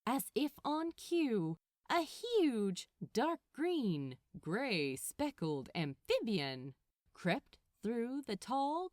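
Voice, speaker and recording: Very animated woman storyteller, dry close sound. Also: fairly high pitch, dry recording. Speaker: narrator of a fan fiction audiobook. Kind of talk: expressive scripted story narration.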